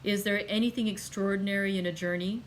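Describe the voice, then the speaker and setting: A woman reads as a young man driven to despair, his voice raised and raw with anger and grief. He hurls accusations in loud, impassioned bursts, pitched a little higher and pushed harder than the reader's own narration. The reading keeps an even, middling register underneath the strain. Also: quick pace, slight background noise, lightly acted, poor audio. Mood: defensive. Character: young man, desperate, angry, grief-stricken. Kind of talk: audiobook character reading.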